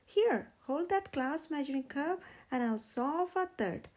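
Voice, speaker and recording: Keen, clueless storybook iguana played by a woman: high, swooping, overconfident helper. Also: expressive, unhurried, a little mellow. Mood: eager, overconfident. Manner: eager, overconfident.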